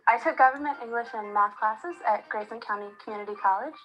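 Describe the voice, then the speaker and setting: A woman's voice: on the high side, quick pace, fairly bright tone, muffled recording, faint music behind. Woman from the American South. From Southern United States. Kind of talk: recorded testimonial.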